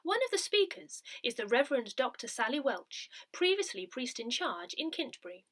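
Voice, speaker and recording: A woman reading written articles aloud in a measured, clearly phrased way, as for an audio newsletter. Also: sits high, big pitch swings, a bit bright. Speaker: newsletter reader.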